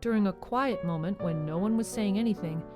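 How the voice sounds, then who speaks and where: A woman's voice: medium pitch, a little fast. A woman reading scripted storybook narration for a radio drama, as the narrator. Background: clear music.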